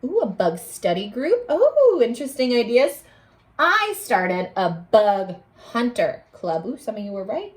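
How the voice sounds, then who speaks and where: Female teacher for a kids' read-aloud: unhurried delivery, big expressive swings, invites children to answer. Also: on the high side. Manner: expressive, exclamatory, coaxing children to respond. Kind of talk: animated children's read-aloud with questions to listeners.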